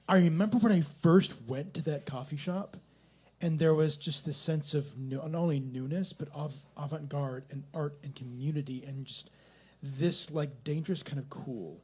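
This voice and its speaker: A man at a coffee shop open mic, speaking in a reflective, halting way about the poems he just heard. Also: on the high side, darkish tone, breathy edge. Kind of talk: casual chat.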